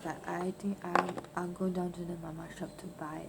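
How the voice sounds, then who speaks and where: A woman in her late forties with a Singaporean accent, giving casual, halting unboxing commentary and ending phrases with "ah". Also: lowish voice, level tone, gravelly. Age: late 40s. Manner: halting, with trailing "ah" particles. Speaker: vlogger. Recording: noisy amateur home recording.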